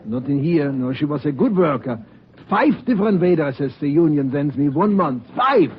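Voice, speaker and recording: An actor playing a kindly, talkative immigrant restaurant owner, emphatic and sentimental, in a 1950s radio drama. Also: male voice, fairly high pitch, expressive, normal speed, close to an everyday voice. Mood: exasperated. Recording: dull old radio recording.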